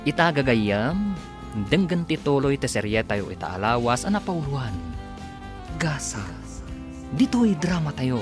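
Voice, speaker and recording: A man's voice: medium pitch, very animated, measured pace, pauses often. A man narrating and announcing a radio serial in a dramatic, scripted style. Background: music bed.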